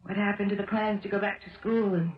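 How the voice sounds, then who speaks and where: A woman's voice: medium pitch, steady tone, fast, lightly acted. A woman acting in a 1970s radio drama as a determined, concerned wife, asking questions in a direct, earnest way. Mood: concerned, insistent. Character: determined, concerned married woman questioning her old flame. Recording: roomy, dull old radio broadcast.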